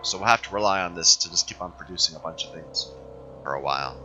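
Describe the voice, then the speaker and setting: A man with a hoarse voice from being sick, hosting a game playthrough and thinking aloud as he plays, often correcting himself. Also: low-pitched, fast, bright, forceful tone, muffled recording, faint music behind. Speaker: let's play host. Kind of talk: casual gameplay commentary.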